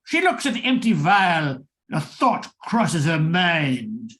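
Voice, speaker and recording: A man's voice: fairly high pitch, sing-song, slow pace, fairly bright tone. A man hosting and narrating an old-time radio thriller in a dramatic, theatrical, scripted style. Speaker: host and narrator.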